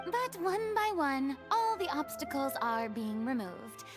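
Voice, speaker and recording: A flamboyant young diva with a high, lively voice that swoops up and down, drawing her words out slowly. She speaks theatrically and excitably over game music. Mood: flustered. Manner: theatrical, excitable, drawn-out delivery. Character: theatrical flamboyant young woman, dramatic and self-assured. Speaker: game character. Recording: game cutscene audio with music.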